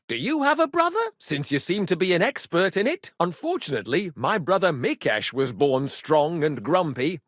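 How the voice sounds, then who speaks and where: An actor playing a cheeky, good-humored medieval rogue and loyal younger brother in a video game, with colloquial, irreverent banter. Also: male voice, high voice, a bit bright, no room sound, clean studio sound, heavily acted. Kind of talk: video game dialogue acting.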